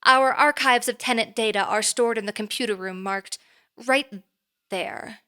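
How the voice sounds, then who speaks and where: A woman playing a poised, reserved boss in an audio drama, speaking formally and in a measured way. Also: on the high side, sharp tone, clear, resonant tone. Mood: cool, businesslike.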